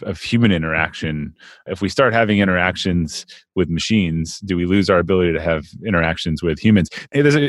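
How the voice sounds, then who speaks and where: Deep-voiced male interview host. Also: no room sound, studio quality. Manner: musing, repeats words while thinking aloud. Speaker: podcast host. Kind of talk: casual interview conversation.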